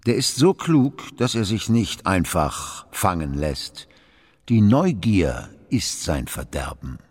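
An actor in a radio play as a weary, brooding philosopher, terse and ponderous. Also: male voice, deep voice, very animated, slow, a little mellow, slightly rough, in a large hall, exaggerated voice. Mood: reflective. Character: brooding, weary foreign philosopher at a northern court.

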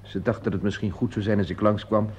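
An actor playing a courteous theatrical agent in a radio play, speaking politely and formally. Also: male voice, on the low side, little pitch movement, fast talker, a little mellow, slightly rough. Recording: dull old radio play recording.

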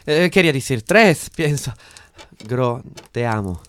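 A man's voice: mid-range voice, animated, a little slow, a little hiss or hum, close to an everyday voice. A man playing a battered, fearful partner in an audio drama, hesitant and guarded as he tries to stand his ground. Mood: hesitant, submissive. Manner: hesitant, short, guarded replies.

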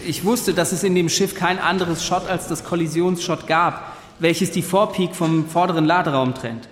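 An earnest young ship's officer, played by a man testifies in a high voice with careful precision. His measured radio-play account rings through a very echoey hall with long reverb. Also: fairly even intonation, slight background noise, natural voice. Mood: tense recounting.

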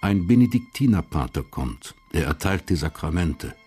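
Low voiced guy narrating a radio crime drama, measured and sober, faint music. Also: big pitch swings, mellow.

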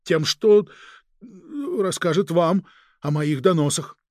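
A man's voice: a little high, slow pace, no echo, clean studio sound. A man voicing a cowed, shifty police agent in a crime audiobook, hesitant and muttering. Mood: pleading, anxious. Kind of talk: dramatic audiobook character voice.

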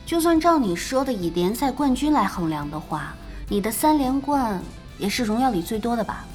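Composed young woman gamer, higher voice. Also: normal speed. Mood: challenging, thoughtful. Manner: calm, thoughtful, understated. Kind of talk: Chinese radio drama acting. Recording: music behind the voice.

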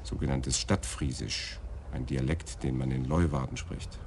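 A man's voice: rumbling low, steady tone, unhurried, a little mellow, slight background noise. An actor playing a thorough police detective who reports his findings in a matter-of-fact way. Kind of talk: radio drama acting.

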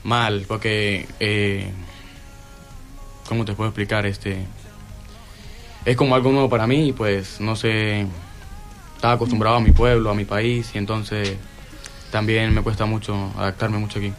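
A teenage boy with a Venezuelan accent, speaking haltingly on the radio and searching for his words. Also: on the low side, level tone, faint music behind. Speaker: student guest.

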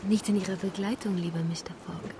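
An actress playing a gentle, devoted young lady traveller in a radio play, speaking softly and earnestly with good manners. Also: medium pitch, steady tone, brisk, background noise, close to an everyday voice. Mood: tender. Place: studio radio play set on a harbour quay.

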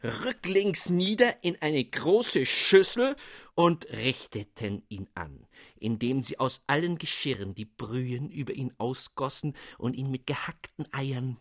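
A man narrating a classic fairy tale in a measured storytelling manner for radio. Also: medium pitch, expressive, no echo, studio quality. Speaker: audiobook narrator. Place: studio.

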